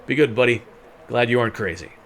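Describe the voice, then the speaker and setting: A man's voice: medium pitch, fast, lightly acted. Man acting as cocky older adventurer, roguish, breezy, sardonic, theatrical, fantasy audio story, faint background noise.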